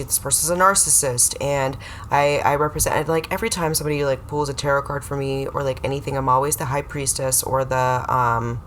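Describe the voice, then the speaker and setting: A woman with a notably deep voice, chatting as a podcast guest. She rambles and circles back through her stories, restarting sentences as she goes. A faint hiss lingers behind her. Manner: rambling, hesitant storytelling with many restarts. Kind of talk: casual comedic chat.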